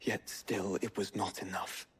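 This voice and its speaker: Husky, breathy young nobleman. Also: lowish voice, level tone, unhurried. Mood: frustrated. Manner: formal, eloquent, earnest. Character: ailing young noble brother, earnest, frustrated, formal. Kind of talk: dramatic video game cutscene acting.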